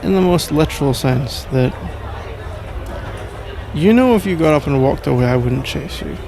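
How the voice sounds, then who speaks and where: A man with a British accent playing a suave, suspicious and powerful mafia crime lord in an intimate ASMR roleplay, measured, self-assured and teasingly condescending. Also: a little high, creaky. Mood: smug, seductive. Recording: noisy bar-like crowd ambience behind.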